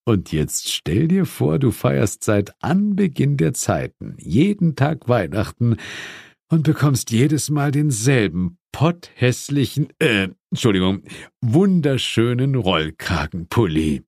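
A man's voice: mid-range voice, very animated, slow, gravelly, a little mellow, husky, no echo, studio quality, voice pushed up, full character voice. A man voicing a stern, sly male demon lord of hell in a theatrical, emphatic audiobook reading. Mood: conspiratorial.

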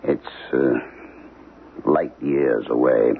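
Very deep, gravelly, haunted professor. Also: male voice, steady tone, heavily acted. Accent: American. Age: middle-aged. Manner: grave, brooding, halting. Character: troubled, haunted archaeology professor convinced he is alien. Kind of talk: 1970s radio drama acting. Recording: tinny, hissy old radio broadcast.

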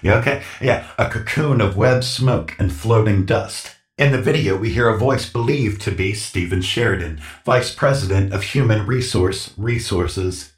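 Male actor as spooky radio drama night host: low voice, ominous measured delivery, echoey and distant. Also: lightly acted. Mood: intrigued, newsy. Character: male late-night horror radio host reporting strange news. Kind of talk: mock radio news and hosting. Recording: echoey, distant, faint music behind.

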